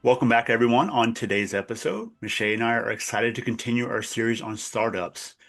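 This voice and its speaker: A man's voice: mid-range voice, fairly quick, creaky, a bit bright, soft background music. A man hosting a podcast, giving brief, friendly welcomes. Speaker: podcast host. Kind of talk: podcast host intro.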